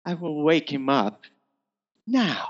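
A man's voice: fairly high pitch, very animated, takes it slowly, dull audio, heavily acted. A man voicing an amoral female spy posing as a countess, arch, sardonic and melodramatic, in a first-person space opera audiobook. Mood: coy, indecisive.

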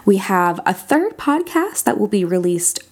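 A woman's voice: mid-range voice, big pitch swings, measured pace. A woman speaking as an interview guest, explaining things in an articulate, conversational way. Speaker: podcast critic and audio drama creator, guest.

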